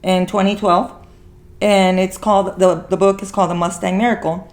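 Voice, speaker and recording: A woman's voice: mid-range voice, little pitch movement, moderate pace, darkish tone. A woman hosting a podcast in casual conversation, rambling as she repeats and rephrases herself. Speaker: podcast host.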